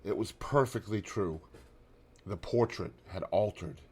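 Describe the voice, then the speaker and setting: A man reading a classic novel aloud in measured narration, dropping low and soft on grave lines. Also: slightly rough. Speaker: audiobook narrator.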